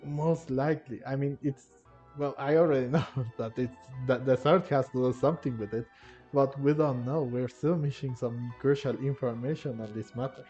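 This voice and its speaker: Male gaming creator, mid-pitched, informal commentary and read-aloud choices, slightly muffled lo-fi sound. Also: fairly even intonation, moderate pace, warm tone. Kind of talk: casual gameplay commentary, reading dialogue choices aloud. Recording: dull lo-fi mic, faint game music.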